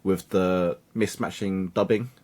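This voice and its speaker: Low-voiced man telling his story. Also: a little slow. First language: Cantonese. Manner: animated, acts out quoted voices of family and peers. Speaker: guest. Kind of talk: conversational interview storytelling.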